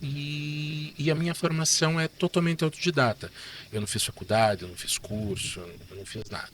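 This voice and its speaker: A man in his fifties with a Curitiba accent, a guest telling stories about his life in a relaxed, chatty way, tagging sentences with "né?". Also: a little high, fairly quick, slight background noise. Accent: Curitiba Portuguese. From Curitiba, Brazil. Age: 50s. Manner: chatty, relaxed, frequent "né?" tags. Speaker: guest artist and illustrator. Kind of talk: casual interview storytelling.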